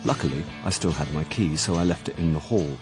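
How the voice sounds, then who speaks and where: An actor playing a roguish, hard-drinking, middle-aged British actor-sleuth in a radio comedy mystery, wry and cheeky. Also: male voice, a bass voice, animated, a little fast, music in the background. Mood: dry narration. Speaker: actor playing the lead and narrator. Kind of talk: radio comedy-mystery drama acting, with dry first-person narration.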